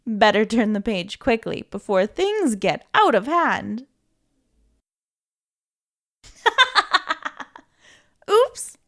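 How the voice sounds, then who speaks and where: Woman reading a picture book aloud to young children; slow, gentle, playful, with a bouncy melody. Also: high-pitched. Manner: gentle, playful, reassuring, with giggles. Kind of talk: read-aloud storytime.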